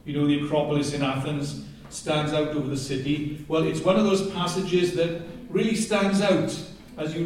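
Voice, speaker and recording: A man's voice: mid-range voice, darkish tone, slight background noise. A man with a Welsh accent preaching a passionate sermon and reading scripture aloud, often picking up speed as he goes. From Wales. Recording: very echoey hall, heard from a distance.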